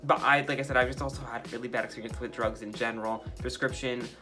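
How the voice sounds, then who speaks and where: A man's voice: mid-range voice, steady tone, fast talker, over music. A young man with a light, feminine-sounding voice, casually sharing his opinions with many filler words as he makes slime. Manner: talkative and opinionated, heavy use of "like". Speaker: vlogger. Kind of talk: casual opinion chat while making slime.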